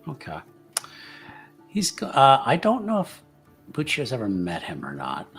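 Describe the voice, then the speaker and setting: A man playing a tabletop roleplaying game, chatting casually and haltingly as he thinks aloud. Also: medium pitch, huge pitch swings, a bit bright, breathy edge, faint music behind. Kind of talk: casual actual-play chat.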